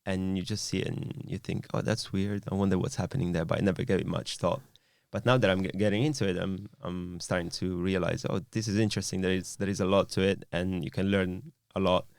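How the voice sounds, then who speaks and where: A man's voice: deep voice, steady tone, brisk, creaky, few pauses, darkish tone, dry recording. A man hosting a podcast, talking thoughtfully in a rambling, casual way with many fillers. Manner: thoughtful, rambling, lots of "you know". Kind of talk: casual chat.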